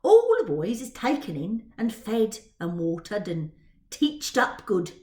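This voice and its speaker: Storybook street urchin, played by a woman: boyish Cockney rascal, boastful and chatty, very animated, echoey room. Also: medium pitch, slow pace. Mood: chatty, scornful. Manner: bragging, ungrammatical street slang. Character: cocky, street-smart young boy pickpocket, chatty Victorian rascal.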